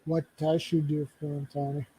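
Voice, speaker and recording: A man's voice: on the high side, little pitch movement, normal speed, mellow, breathy edge, poor audio. A man hosting a casual livestream chat, joking around, laughing often and stumbling over his words. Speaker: streamer host.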